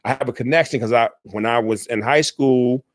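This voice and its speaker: An earnest young man answers questions at length, circling back and correcting himself as he goes. His voice sits at an ordinary middle pitch. The recording is close and dry, with no room sound. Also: brisk, no echo. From South Side Chicago, USA. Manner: rambling, self-correcting, earnest. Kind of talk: casual spoken Q&A.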